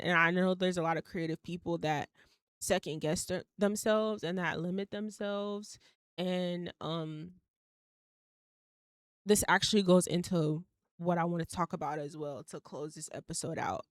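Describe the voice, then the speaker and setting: A woman's voice: fairly low pitch, clear, resonant tone, no echo, clean studio sound. A young woman in her twenties hosting a solo podcast, rambling casually with many fillers, occasional swearing and laughs mid-sentence. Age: young adult. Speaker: podcast host.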